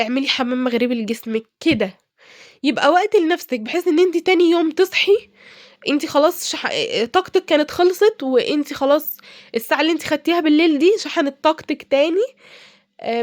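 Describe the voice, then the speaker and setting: Casting: young Egyptian woman cooking vlogger, stuffy from a cold, brisk and chatty, dry close sound. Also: high voice, dry recording. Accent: Egyptian Arabic. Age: young adult. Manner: chatty, many fillers and restarts. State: congested from a cold. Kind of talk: casual advice while cooking.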